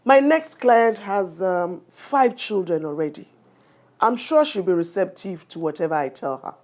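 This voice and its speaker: A woman's voice: fairly low pitch, expressive, unhurried, a little mellow. A woman with a Nigerian accent acting as a condescending, bossy family planning nurse in a radio drama, talking down with exclamations and little tags. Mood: self-satisfied. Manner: patronizing, talks down with exclamations and tags. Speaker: nurse in an educational radio play.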